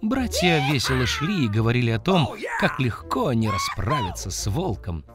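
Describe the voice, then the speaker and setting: A storyteller narrating a scripted fairy tale for children in an expressive, dramatic manner. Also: medium pitch, normal speed. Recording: music behind the voice. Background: music.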